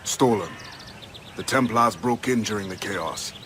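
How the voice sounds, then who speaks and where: An actor playing a solemn, weary older freedom fighter in a video game. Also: male voice, on the low side, measured pace, fairly bright tone, slight background noise. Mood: grave. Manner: solemn, formal. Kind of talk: video game cutscene acting.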